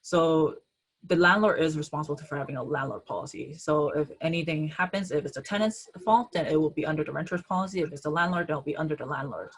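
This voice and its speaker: Low-voiced woman answering insurance questions. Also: fast, rough recording. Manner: hesitant, many ums and uhs. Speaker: insurance agent. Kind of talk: answering questions in an online webinar. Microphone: video call.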